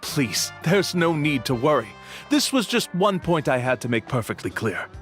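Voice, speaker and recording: A man playing a smooth-talking scammer who poses as a tour guide in a video game, with florid, persuasive patter. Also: a little high, fairly bright tone. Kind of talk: animated video game dialogue. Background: music.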